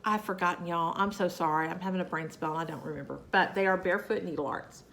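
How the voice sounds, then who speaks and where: A woman's voice: on the low side, quick pace, creaky, fairly bright tone. Chatty Southern woman, nasal and scratchy. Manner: chatty, folksy, rambling with fillers and asides. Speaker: cross-stitch vlogger. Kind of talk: casual chat to camera.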